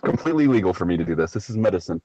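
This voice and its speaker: A man's voice: low-pitched, lively intonation, rapid-fire, highs cut off, a crowd in the background. A man from the United States chatting casually as a live chat guest, laid-back, blunt and jokey.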